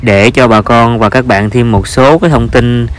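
A man's voice: medium pitch, steady tone, normal speed, background noise. A man with a Southern Vietnamese accent narrates an informal vlog as a farmer, with hesitant, repetitive phrasing.

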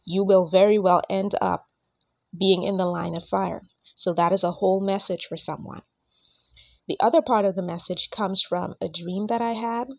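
A woman who is under the weather and a bit raspy, giving an earnest, casual prophetic message and speaking directly to her listeners. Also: lowish voice, darkish tone, no echo, highs cut off. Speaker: prophetic minister.

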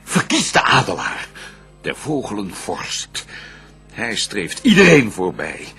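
Raspy, declaiming banished devil. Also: male voice, lowish voice, huge pitch swings, slow pace, sharp tone, soft background music, heavily acted. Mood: grand, excited. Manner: declamatory, grandiose. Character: vengeful banished devil, proud, scheming, fallen angel. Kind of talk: theatrical verse radio drama.

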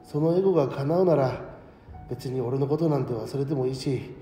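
A man acting an ageing, modest schoolteacher in an audio drama, speaking as a reflective, principled and gently frank mentor. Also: mid-range voice, close to an everyday voice. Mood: earnest, reflective. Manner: reflective, measured mentor talk. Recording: echoey hall reverb, music underneath.

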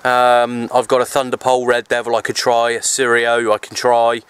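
A man's voice: medium pitch, completely flat delivery, moderate pace, fairly bright tone, smooth, dry recording. A man chatting casually and easily in a rambling outdoor vlog, recorded on a handheld camera at arm's length. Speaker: radio hobbyist vlogger. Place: outdoors, open parkland in wind. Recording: noisy windy outdoor camera audio.

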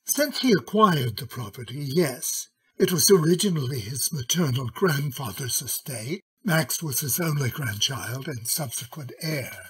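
Husky, slow-spoken courtly gentleman lawyer. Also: medium pitch, animated, heavily acted, poor audio. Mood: thoughtful. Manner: courteous, hesitant, deliberate. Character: courtly, mild middle-aged gentleman lawyer, cautious. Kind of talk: old-time radio drama acting.

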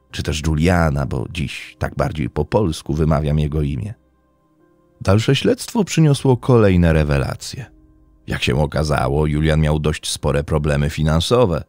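A man narrating a scripted true crime story as podcast host, in a dramatic, suspenseful style with deliberate phrasing. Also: low-pitched, mellow, dry recording.

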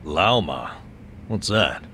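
An actor playing a gruff, sceptical older ex-cop in a video game, blunt and questioning. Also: male voice, low voice, sing-song, slow, slight background noise, heavily acted. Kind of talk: English game voice acting. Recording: music playing loudly behind.

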